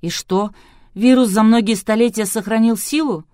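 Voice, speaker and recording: A woman's voice: on the high side, normal speed, darkish tone, no echo, pitched above the performer's own voice, close to an everyday voice. A domineering man who runs a TV show, played by a woman narrator in a storybook reading. He is brusque and demanding, barking his words with force in a very dry recording. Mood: skeptical. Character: brusque, domineering male TV producer, angry and commanding.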